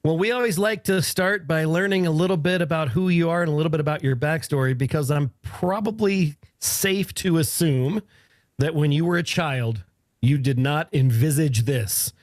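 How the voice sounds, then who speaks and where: A man's voice: on the high side, moderate pace, no echo. A man co-hosting a casual podcast, with a jokey, playful manner of banter. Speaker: podcast co-host. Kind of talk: casual chat.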